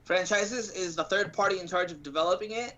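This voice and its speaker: A man with a distinctly high-pitched voice talks casually about games over dull, lo-fi audio. He sounds like an informal stream co-host, explaining things in a slightly halting way. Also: sharp tone. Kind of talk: casual gaming chat.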